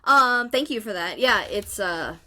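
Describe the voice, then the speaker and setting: A woman's voice: mid-range voice, expressive, a little slow, pushed and bright. A woman hosting a podcast interview, chatty and casual with plenty of fillers. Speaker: podcast host.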